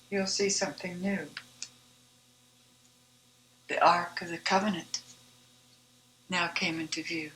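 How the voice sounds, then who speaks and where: A woman reciting her own devotional poem in a measured, deliberate, reverent manner. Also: mid-range voice, pushed and bright, a little hiss or hum, rough recording. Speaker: author reading own poem.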